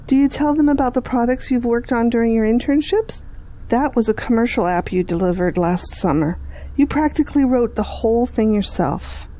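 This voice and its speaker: A woman playing a patient, devout middle-aged mother, gently encouraging in an audio drama. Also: fairly high pitch, normal speed, close to an everyday voice, poor audio.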